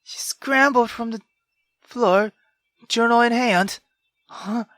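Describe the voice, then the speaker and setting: A woman reading a visual novel aloud as a gamer, dramatic and expressive, breaking off into exclamatory, sweary asides. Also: fairly high pitch, takes it slowly, dry recording. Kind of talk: dramatic reading with reaction commentary. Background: game music.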